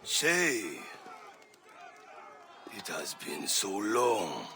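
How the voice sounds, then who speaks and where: An actor playing a sly, smug smuggler in a video game, with a suave, deliberate manner. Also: male voice, fairly low pitch, wildly swooping pitch, sharp tone, a faint crowd, exaggerated voice. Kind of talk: dramatic video game acting. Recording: faint ambient game noise behind.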